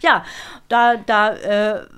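A woman's voice: medium pitch, drawn-out words, a bit bright, smooth. A middle-aged woman, a voice actress, chatting casually as a guest in a studio interview. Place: podcast studio.

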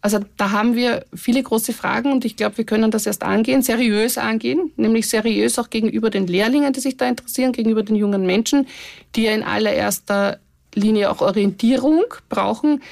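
A woman with an Austrian accent speaking as a care organization representative in a podcast discussion, thoughtful, with many pauses to rephrase. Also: fairly high pitch, creaky, darkish tone, no echo. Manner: thoughtful, many fillers and restarts.

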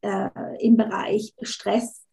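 A woman's voice: medium pitch, little pitch movement, slow pace, vocal fry, dark tone. A woman interview guest, a coach, talking casually, often restarting words and slipping in English phrases. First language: not German, unsure of her German.

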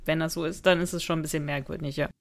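Woman cohosting a podcast, voice a bit on the low side, just chatting. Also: fairly even intonation, racing. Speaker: podcast co-host. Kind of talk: casual chat, commenting on an audio drama.

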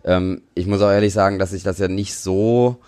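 A man with a deep voice speaks as an interview guest. His talk is casual and colloquial, full of slang and English loanwords. The sound is dry, with very little room in it. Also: fairly even intonation, moderate pace, warm tone, clear, resonant tone, no room sound. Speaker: interview guest, tattoo artist.

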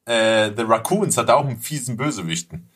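A man with a bright, forward voice chats on a casual podcast. He tells things in an animated, playful way, as if recounting favorites among friends. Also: mid-range voice, brisk, husky. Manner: animated storyteller, acts out mock quotes and sound bits. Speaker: podcast panelist. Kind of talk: casual podcast chat about childhood cartoons.

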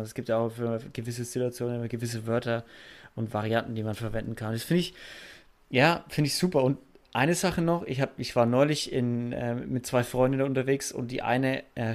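A young man chatting casually as a podcast co-host, agreeable and quick to laugh. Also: medium pitch. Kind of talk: casual chat.

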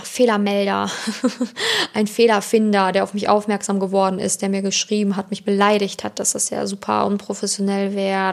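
A woman's voice: mid-range voice, creaky. A woman who is not a native German speaker, hosting an informal motivational podcast as a coach, chatting directly and personally to the listener. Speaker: podcast host and self-love coach.

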